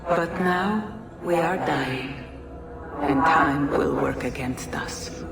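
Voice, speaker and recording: An actress voicing a solemn ancient female being who speaks slowly and gravely, as in a game cutscene. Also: deep voice. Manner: slow, solemn, measured. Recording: echoey and distant, loud music.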